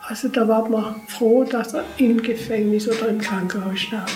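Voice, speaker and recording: A woman's voice: a little high, fairly even intonation, moderate pace, a little mellow. A woman recollecting informally in an interview, repeating phrases for emphasis. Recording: echoey room, music behind.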